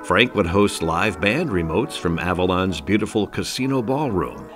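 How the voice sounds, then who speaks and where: A man's voice: sits low, lively intonation, moderate pace, over music. A man reading scripted narration for a documentary.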